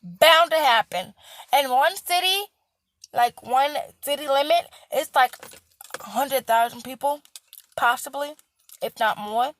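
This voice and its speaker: A young woman speaks in a high voice that is hoarse and cracking. She rants bluntly and emphatically, repeating points and swearing as she lectures her viewers. The sound is dry and close, with no room around her. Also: measured pace, pauses often, fairly bright tone, dry recording. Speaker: healthcare worker. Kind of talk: casual vlog rant.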